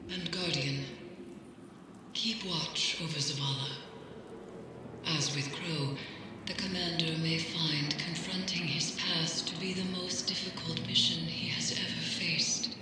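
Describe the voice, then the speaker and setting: Brooding mystic lady from a video game, grave and cryptic, huge echoey hall, noisy ambience. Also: deep voice. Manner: grave, deliberate, cryptic phrasing. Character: solemn, brooding mystic woman guiding a warrior. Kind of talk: dramatic video game voice acting.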